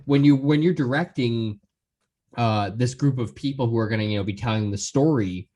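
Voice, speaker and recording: A man's voice: medium pitch, normal speed, dark tone. A man hosting a podcast interview, asking casual, rambling questions in a roundabout way.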